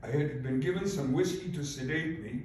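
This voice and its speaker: A man's voice: a little high, moderate pace, a little mellow. Old guy in his 80s from poland, polish native speaker, giving a careful speech, super echoey room, bit of background hiss. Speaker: invited speaker, Holocaust survivor. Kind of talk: prepared commemorative speech, personal testimony. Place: large echoing room at a public event. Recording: very echoey hall, far off, faint noise.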